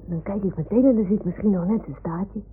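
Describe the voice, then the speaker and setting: A woman's voice: medium pitch, fast, a little hiss or hum, lightly acted. A woman playing an imaginative little boy in a radio play, speaking with childlike earnestness. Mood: earnest, playful. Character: imaginative little boy talking with his toy animals. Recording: muffled phone-like old radio recording.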